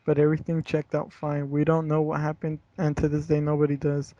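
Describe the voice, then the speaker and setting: A man's voice: on the high side, completely flat delivery, brisk, warm tone. A young man reading a horror story aloud and chatting casually, with slangy, profane language. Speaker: story reader. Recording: dull lo-fi home recording, roomy.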